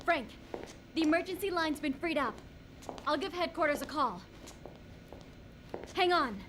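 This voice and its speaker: A woman's voice: high voice, animated, a little slow, noisy background. A woman playing an urgent, serious government agent in a dramatic video game cutscene.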